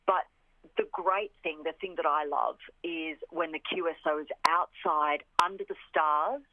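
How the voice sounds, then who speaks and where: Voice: medium pitch, a little slow, no room sound. An orchestra representative giving a radio interview, explaining with enthusiasm and repeating words for emphasis. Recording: phone-like line on radio.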